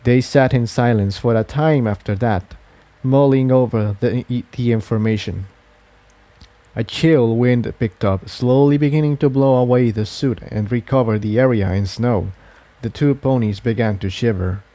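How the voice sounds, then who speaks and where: A man reading scripted story narration as a fan fiction narrator, in a measured manner. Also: mid-range voice, dark tone, no echo.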